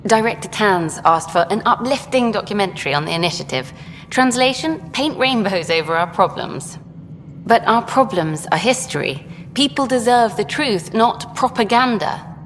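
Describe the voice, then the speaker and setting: An actress playing an eager, idealistic documentary journalist in a video game, brisk and frank. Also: female voice, mid-range voice, expressive, lightly acted. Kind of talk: video game dialogue acting. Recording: long hall reverb, faint noise.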